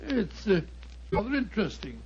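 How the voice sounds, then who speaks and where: Genial British sidekick, high voice, stammering, repeats himself, dull 1940s radio mystery recording. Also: male voice, sing-song, normal speed, darkish tone, breathy edge, exaggerated voice. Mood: curious, amused.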